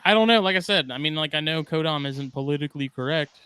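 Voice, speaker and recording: Male co-host, sarcastic and wry, slightly higher voice, chatty. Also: fast, bright, forceful tone, clear, resonant tone. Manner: sarcastic, rambling, defensive. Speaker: podcast co-host. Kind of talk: casual banter among reptile keepers.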